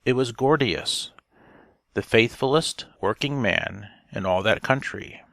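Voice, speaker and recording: A man reading a storybook narration aloud in a measured, deliberate manner. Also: fairly low pitch, gravelly, a bit bright, no room sound, clean studio sound. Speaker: audiobook reader.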